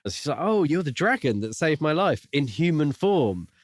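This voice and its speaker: A man's voice: on the high side, sing-song, normal speed, dry recording, clean studio sound. A man co-hosting a podcast, casually retelling and discussing a book in a jokey, giggly way. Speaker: podcast co-host.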